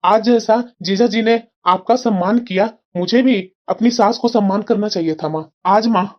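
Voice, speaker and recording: A woman plays an earnest young husband in a cartoon family drama. The pitch is middling and the sound is a little dull and lo-fi. The delivery is confessional and repentant. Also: lightly acted.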